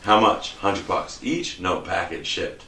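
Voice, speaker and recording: Low, bright-edged ranting man. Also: expressive, slow, creaky. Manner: exasperated, profane ranting. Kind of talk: casual convention chat. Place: convention hall. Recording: echoey hall, mic far off.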